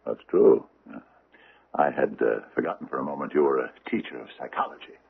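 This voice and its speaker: An actor playing a courteous, learned judge in a radio drama, formal and genial with hesitant pauses. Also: male voice, rumbling low, lively intonation, creaky, breathy edge, phone-quality sound, heavily acted. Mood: genial, amused. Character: courteous, learned judge giving his opinion. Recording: thin band-limited old radio recording.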